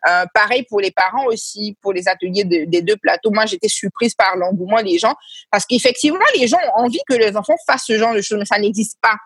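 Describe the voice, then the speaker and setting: A woman answering interview questions in a husky, breathy voice. She talks through her thoughts haltingly and repeats words as she searches for them. The sound is close and dry, with little room around it. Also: medium pitch, no echo. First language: Senoufo heritage, speaks it only at a basic level. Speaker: guest, children's reading workshop organizer.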